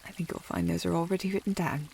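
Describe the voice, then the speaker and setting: A woman playing a proud, easily flustered young aristocratic lady in a historical ASMR romance, breathy and refined, often dropping to a whisper. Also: on the low side, steady tone, fast, background noise. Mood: haughty, composed. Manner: haughty, refined, hesitant when flustered.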